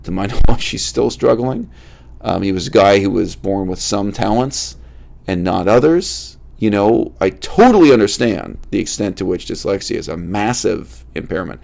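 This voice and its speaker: Low, raspy-fry male host giving a blunt, emphatic talk to camera. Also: animated, moderate pace, a little mellow. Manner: emphatic, repeats key words for stress; addresses viewers directly, asks rhetorical questions. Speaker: channel host. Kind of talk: unscripted philosophical monologue to camera.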